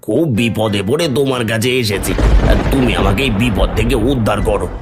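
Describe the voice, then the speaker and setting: A man's voice: lowish voice, brisk, close to an everyday voice. A man playing a village headman's helper in a cartoon story, who speaks in a beseeching, humble way. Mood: pleading. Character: pleading village man recruiting help for the headman. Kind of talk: cartoon story dialogue acting. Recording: music under the voice.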